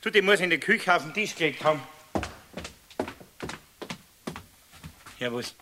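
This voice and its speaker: A man's voice: a little high, lively intonation, quick pace, close to an everyday voice. An actor with a Bavarian accent playing a flustered, irritable craftsman husband in a 1970s radio play, blustering and exclaiming. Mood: flustered, annoyed. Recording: hissy old radio recording.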